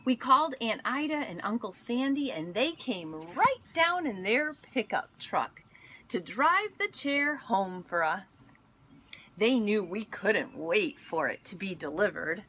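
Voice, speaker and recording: A woman reading a picture book aloud in an expressive storytelling manner, pausing to speak to young listeners. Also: medium pitch, a little hiss or hum. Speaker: school instructional coach reading aloud.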